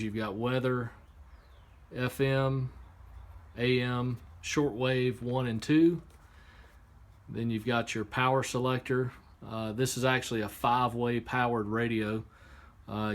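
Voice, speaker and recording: A man giving a casual, unscripted hands-on product demonstration, explaining step by step in a halting way. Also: medium pitch. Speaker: product reviewer. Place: indoor workshop. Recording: clear background noise, room ambience.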